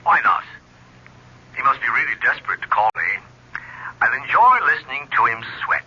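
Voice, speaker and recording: A man's voice: medium pitch, wildly swooping pitch, normal speed, bright, forceful tone, full character voice. A man acting a smug, sardonic business executive in an old-time radio drama, mocking and dismissive. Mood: amused, smug. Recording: muffled, rough vintage radio recording.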